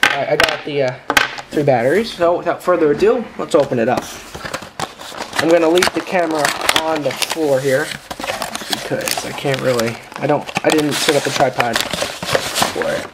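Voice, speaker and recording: A man hosting a casual unboxing vlog in a halting, informal way, recorded indoors on a handheld camera's built-in microphone. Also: a little high, very noisy. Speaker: video host.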